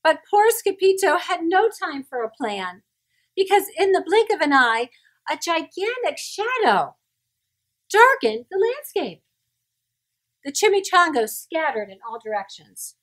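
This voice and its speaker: A woman reading a children's story aloud in an expressive, dramatic way, putting questions to her young listeners. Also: very high voice, unhurried.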